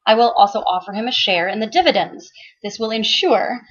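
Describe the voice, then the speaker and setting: A woman voicing a fiery, defiant madam in a story reading, shrill, commanding and dramatic. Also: brisk, slightly rough, dull audio. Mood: determined.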